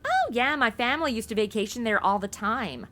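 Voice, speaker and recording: A woman's voice: on the high side, big pitch swings, brisk, pushed and bright. A woman playing a brash, sarcastic young sidekick who talks in a mouthy, informal way in a comedic superhero audio drama. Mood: sarcastic, annoyed.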